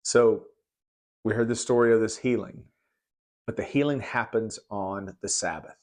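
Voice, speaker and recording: A man teaches from the Bible in an informal, conversational style. His voice is on the low side, and he speaks haltingly, repeating words as he explains. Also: steady tone, darkish tone. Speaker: preacher giving Bible commentary.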